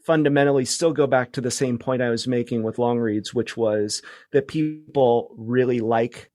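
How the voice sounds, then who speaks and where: A man's voice: medium pitch, normal speed, darkish tone, no room sound. Middle-aged man, casual and discursive, dry sound. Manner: informal, discursive. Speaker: interview guest, podcast producer. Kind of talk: casual conversational interview.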